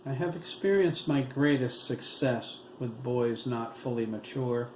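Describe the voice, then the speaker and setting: A man reading the part of a cold, clinical male doctor who speaks in a measured, matter-of-fact way. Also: mid-range voice, close to an everyday voice. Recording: roomy room with steady hiss.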